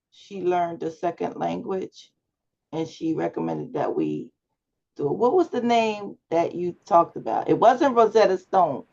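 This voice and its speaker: A woman teaching an online class, talking in a slow, deliberate way. Her voice has a gravelly, creaky edge. She sounds encouraging and unhurried, and the video call audio comes through dull and lo-fi. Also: mid-range voice, a little mellow. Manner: unhurried, encouraging, with frequent fillers. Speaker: class instructor. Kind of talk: leading an online class discussion.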